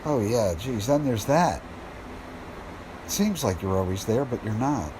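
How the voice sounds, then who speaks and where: An actor playing a lonely, grieving widower who muses wearily to himself in an audio drama. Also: male voice, medium pitch, lively intonation, measured pace, noisy background, lightly acted. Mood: troubled, grieving. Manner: talks to himself, reflective.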